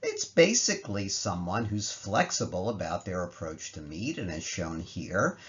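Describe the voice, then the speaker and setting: Animated, low-voiced man lecturing. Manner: didactic, emphatic phrasing with rhetorical questions. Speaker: medical professor giving a presentation. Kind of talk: narrated slide lecture, plain-language. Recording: slightly dull, lo-fi voice-over recording.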